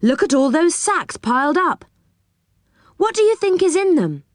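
A woman's voice: a little high, very animated, measured pace, no echo, studio quality, pitched above the performer's own voice. A woman voicing an adventurous storybook boy who leads his friends with wondering exclamations.

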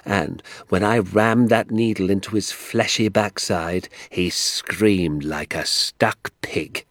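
A man voicing an excitable little old country doctor in an audiobook, kindly and indignant, with emphatic, drawn-out, theatrical delivery. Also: low voice, no room sound, studio quality, heavily acted. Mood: indignant relish. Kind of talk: acted audiobook dialogue.

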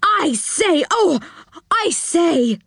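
A woman voicing an excitable, stammering little round-faced magical man in a children's storybook. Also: high voice, slow, no room sound, studio quality, voice pushed up, full character voice. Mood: stammering excitement. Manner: stammering, exclaiming.

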